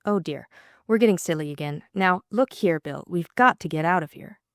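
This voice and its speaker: A woman voicing a witty, teasing young Englishwoman, dry and brisk. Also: on the low side, no echo, natural voice.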